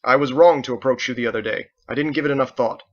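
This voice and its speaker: A man's voice: medium pitch, fast, dull audio, lightly acted. Man acting a stern, irritable young knight, scolding. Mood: stern, angry. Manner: scolding, emphatic.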